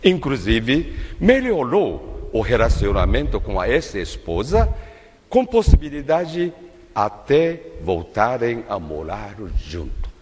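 An older man preaching a sermon at a lectern in a large worship hall, in a slow, measured, emphatic delivery. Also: mid-range voice, very animated, darkish tone. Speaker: minister giving a testimony-based sermon. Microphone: lectern microphone. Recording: long reverb of a large hall.

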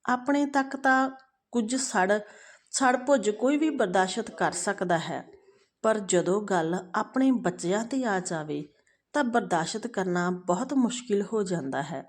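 A woman storyteller with a medium-pitched voice. She narrates a family story with a moral, addressed to her listeners. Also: expressive, a little slow.

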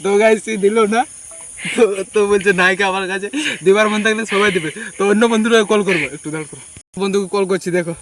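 A man talks in a high voice like an informal vlogger. His phrasing is colloquial and repetitive. Clicks and cricket chirps run clearly behind him. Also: fairly even intonation, fairly quick, fairly bright tone, slight background noise. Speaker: prank-call vlogger, host. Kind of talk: casual chat to viewers and prank phone calls.

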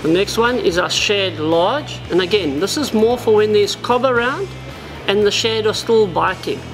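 A man's voice: sits high, normal speed, over music, low-quality recording. South African man demonstrating fishing tackle. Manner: practical, explanatory, addresses viewers as guys. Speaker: tackle shop presenter. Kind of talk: product demonstration. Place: indoor tackle shop, seated at a wooden counter.

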